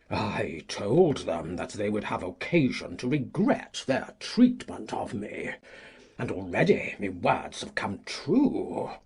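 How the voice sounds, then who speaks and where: An actor playing a cantankerous, self-righteous old country gentleman, with a husky, creaky voice and a pompous, indignant delivery. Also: deep voice, exaggerated voice. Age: older. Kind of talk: dramatised classic audiobook acting.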